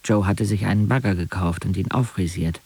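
An actor narrating a radio drama in the first person as a wry young man, measured, detached and literary. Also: deep voice, darkish tone, dry recording. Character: wry young male narrator recounting his friends' lives. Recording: clear music under the voice. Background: music.

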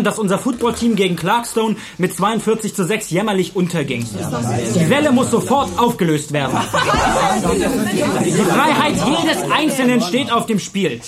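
A man acting an angry, fanatical teenage schoolboy in a German radio play, declamatory, contemptuous and commanding. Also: sits high. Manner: declamatory, contemptuous, barking orders. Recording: noisy crowd of young people behind.

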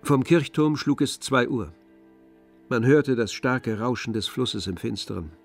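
A man narrating a literary German radio play in a measured, restrained, sober way. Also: medium pitch, animated, creaky, faint music behind.

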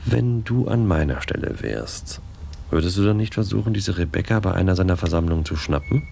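Deep gravelly needling inspector. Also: male voice, expressive, fairly quick, a little mellow, a little hiss or hum. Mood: sly, pressuring. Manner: needling, leading questions. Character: insinuating, probing male police inspector. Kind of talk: German radio play acting.

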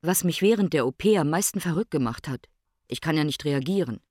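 An actor playing a brooding, philosophical ailing man in a radio play monologue, speaking in deliberate, emphatic short phrases. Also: mid-range voice, lively intonation, background noise, natural voice. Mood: haunted, reflective.

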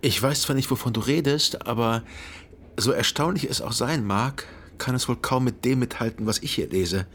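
A man playing a reflective son who narrates his memories in a radio drama, with a husky voice and measured, contemplative delivery. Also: medium pitch, slight background noise, lightly acted. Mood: amazed. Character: reflective literary narrator, a grown son remembering.

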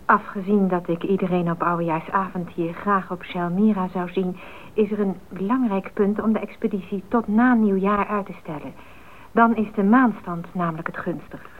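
An actress playing a composed, devout woman in a Dutch radio drama, speaking in a calm, measured and earnest way. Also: mid-range voice, lightly acted. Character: composed, devout woman of a missionary flying group. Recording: muffled, noisy narrowband radio play recording.

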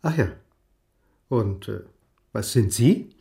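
A man's voice: fairly low pitch, lively intonation, slow, vocal fry, warm tone, exaggerated voice. A man playing a reserved, dogged academic turned amateur sleuth in a radio crime drama, speaking politely, formally and matter-of-factly.

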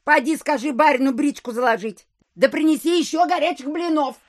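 A woman's voice: sits high, a little fast, dry recording, studio quality, natural voice. An actress playing a fussy, thrifty old country landlady in an audio play, with homely, fretful speech. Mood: homely, fretting.

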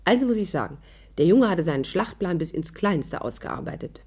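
A woman's voice: fairly low pitch, lively intonation, moderate pace, a little mellow, slightly rough. An actress playing a shrewd young woman who narrates her adventure in a composed, wry way in a 1950s radio drama. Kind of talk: scripted narration, 1950s German radio play.

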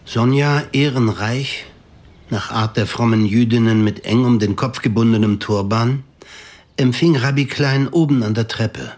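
A man narrating a literary radio drama in a measured, deliberate, scripted storytelling manner. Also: mid-range voice, a little mellow.

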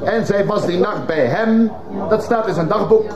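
A man's voice: sits high, moderate pace, a crowd in the background, natural voice. A man playing a persistent defence lawyer, formal and argumentative, in a radio courtroom drama. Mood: insistent, challenging. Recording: muffled old radio play recording.

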